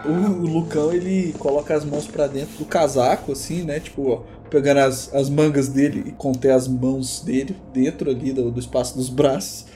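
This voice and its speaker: A man's voice: mid-range voice, steady tone, normal speed, hardly any pauses, dark tone, faint music behind, close to an everyday voice. A man playing an easygoing working man in a tabletop roleplay, hesitant and colloquial as he describes his actions. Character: laid-back, plain-spoken working man, easygoing sidekick. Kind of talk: improvised tabletop roleplay, narrating own actions.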